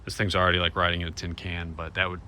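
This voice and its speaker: Casting: American male DIY vlogger, lowish voice, laid-back and plain-spoken, recorded on the go with clear vehicle and ambient noise. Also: monotone, fast talker, bright, forceful tone. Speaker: vlogger showing DIY van upgrades. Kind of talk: casual DIY walkthrough to camera.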